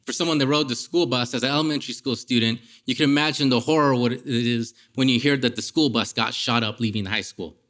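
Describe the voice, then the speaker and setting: A man from Los Angeles delivers a public talk in a voice of average pitch. He tells personal stories vividly, with a dramatic sense of timing. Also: fairly even intonation, a little fast, few pauses, a bit bright. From East Los Angeles, United States. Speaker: guest lecturer, former pastor. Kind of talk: personal storytelling in a public lecture.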